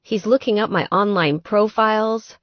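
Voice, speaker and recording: A woman's voice: mid-range voice, moderate pace, no room sound, highs cut off, pitched above the performer's own voice, close to an everyday voice. A woman voicing a sweet, distressed young woman gamer in an audiobook, plaintive and exasperated. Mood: troubled. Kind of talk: audiobook character dialogue.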